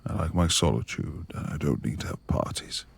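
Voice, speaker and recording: A man playing a reclusive, brooding lord of a manor in an intimate ASMR romance roleplay, speaking formally and haltingly in a gravelly, husky, hushed voice. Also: extremely low pitch, full character voice. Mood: guarded. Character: reclusive brooding male manor lord, tender beneath gruff exterior.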